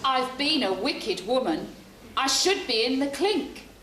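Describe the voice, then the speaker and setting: A woman's voice: high voice, sing-song, unhurried, sharp tone, exaggerated voice. A British woman playing a wicked, unrepentant stepmother in rhyming stage pantomime. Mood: wry, wicked. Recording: echoey stage, noisy amateur tape.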